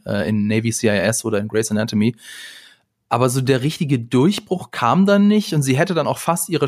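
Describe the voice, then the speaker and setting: A man's voice: medium pitch, no echo. A man chatting on a podcast, talkative and in charge of the conversation. His voice sits in the middle range, and the sound is dry and close with no room around it. He leans on fillers as he thinks aloud. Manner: talkative, steers the talk, frequent fillers. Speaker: podcast co-host. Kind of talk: casual chat.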